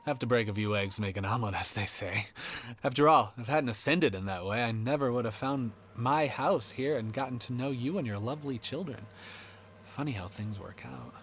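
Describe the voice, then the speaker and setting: A man's voice: mid-range voice, a little fast, fairly bright tone, slight background noise, close to an everyday voice. A man voicing an unrepentant killer hiding in an attic, sinister and darkly glib, in a first-person horror monologue.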